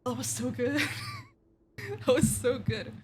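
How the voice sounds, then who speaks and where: A woman game streamer giving casual, excited commentary in a slangy, gushing, reactive way. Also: fairly high pitch, unhurried, noisy background. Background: game music.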